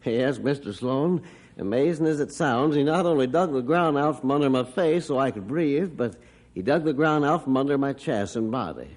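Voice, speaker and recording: Man playing an animated, earnest farmer storyteller. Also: medium pitch, natural voice. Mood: earnest, reflective. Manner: expressive, deliberate storyteller. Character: earnest farmer recounting his dog's heroics. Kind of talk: old-time radio drama acting. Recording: faintly noisy vintage radio recording.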